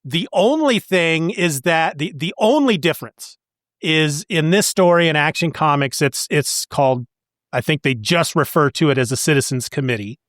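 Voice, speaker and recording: Higher-pitched man hosting a podcast. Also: expressive, normal speed, fairly bright tone, no echo, clean studio sound. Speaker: podcast host. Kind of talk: casual discussion of a comic book story.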